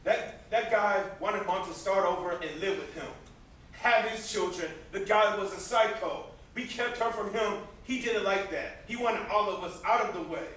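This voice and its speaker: In a very echoey, noisy stage recording, a man plays a bitter young man racked with guilt. His voice is high and bright, and he declaims vehemently in amateur stage-drama style. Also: normal speed, far from the mic. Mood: agitated. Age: young adult. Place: indoor stage, recorded from a distance.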